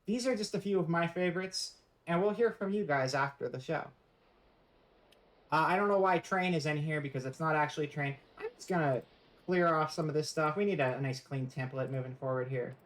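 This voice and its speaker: A woman's voice: low voice, fairly quick, rough recording. A woman streamer giving a casual live-stream presentation, rambling and joking in a playfully mock-serious way.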